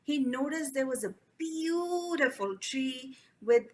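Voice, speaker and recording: High, slow-telling woman storyteller. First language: Urdu. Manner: animated, expressive, addresses the children. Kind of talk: children's storytelling. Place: library event.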